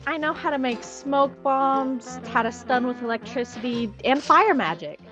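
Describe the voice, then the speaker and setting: A woman's voice: high-pitched, normal speed, over music, lightly acted. A woman playing a small, cheerful, earnest ten-year-old child in a fan-made comic dub. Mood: eager.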